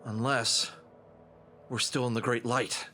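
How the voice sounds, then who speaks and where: A man playing an earnest, cocky young fox adventurer in an expressive audio drama style. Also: medium pitch, slow pace, over music, close to an everyday voice. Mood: puzzled. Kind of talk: audio drama cartoon acting.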